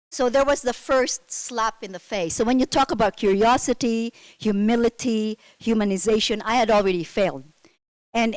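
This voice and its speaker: Panelist, woman, middle-aged, from the Philippines; earnest, self-critical storyteller, mid pitch, dry close mic. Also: no room sound, rough recording. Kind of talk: conference panel talk.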